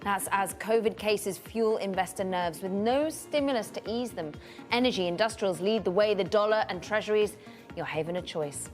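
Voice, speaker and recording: A woman anchoring a live financial news show, introducing headlines and guests with a brisk, polished delivery. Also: mid-range voice, soft background music.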